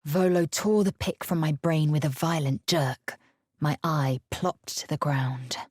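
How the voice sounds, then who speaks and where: A woman voicing a fierce, proud githyanki warrior in a video game, imperious and clipped in manner, with a husky, breathy voice. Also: deep voice, a little slow, a little mellow, no room sound, clean studio sound. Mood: solemn narration.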